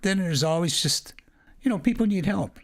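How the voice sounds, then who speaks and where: A man's voice: sits high, expressive, moderate pace. An older man, a retired teacher, telling long rambling stories as a podcast guest, often restarting and laughing. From Canada. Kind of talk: casual storytelling interview.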